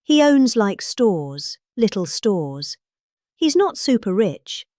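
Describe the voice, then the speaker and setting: A middle-aged woman with a British accent reads a rambling speech as if chatting. Her delivery is emphatic and theatrical, with pitch that rises and falls dramatically. The sound is dry, with hardly any room to it. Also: big pitch swings, slow, dark tone, dry recording. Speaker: reader.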